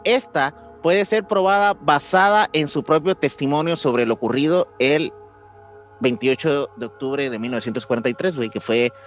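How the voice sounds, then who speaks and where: A man with a Mexican accent, co-hosting a podcast and explaining things in a relaxed, chatty way. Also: on the high side. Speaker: podcast co-host. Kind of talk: casual chat.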